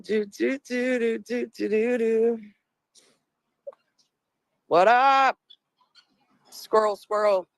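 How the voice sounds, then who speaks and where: A woman with a Boston accent and a deep voice, chatting casually and rambling as a livestream host, laughing often, on a phone outdoors. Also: steady tone, very slow, gravelly, pauses often, no room sound, clean studio sound. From Boston area.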